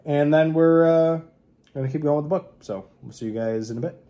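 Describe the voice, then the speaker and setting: A man's voice: on the high side, fairly quick, dark tone. A man chatting casually to camera as a book vlogger, in a rambling, informal and slangy way.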